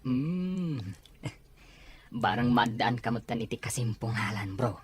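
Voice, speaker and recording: A man's voice: mid-range voice, lively intonation, slow, slight background noise. A man playing a knowing, amused buddy who teases his friend in an audio drama. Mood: amused teasing. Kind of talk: Ilocano audio drama acting.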